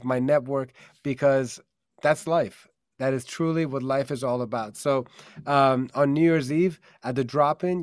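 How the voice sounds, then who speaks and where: A man's voice: mid-range voice, no echo, clean studio sound. A man born in Germany and raised in America, hosting a live stream in an upbeat, earnest way with many fillers, over a video call. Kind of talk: casual promotional live-stream talk.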